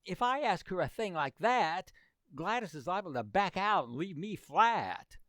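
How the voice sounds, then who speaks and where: A woman's voice: fairly low pitch, big pitch swings, measured pace, fairly bright tone, dry recording, studio quality. A woman playing an awkward, worried teenage boy sidekick in old-time radio comedy, hesitant and plaintive. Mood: reluctant, worried.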